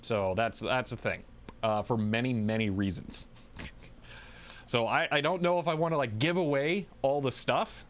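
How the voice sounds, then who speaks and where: A man hosting a livestream, chatting casually and thinking aloud in a halting way as he answers viewers. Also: medium pitch, fairly bright tone. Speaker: livestream host.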